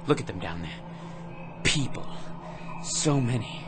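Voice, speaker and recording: An actor playing an obsessive office clerk who mutters to himself over a book and builds to manic fervor. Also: male voice, medium pitch, lively intonation, a little slow, lightly acted. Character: obsessive middle-aged male office clerk. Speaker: lead actor in radio drama. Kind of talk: radio drama acting. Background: music.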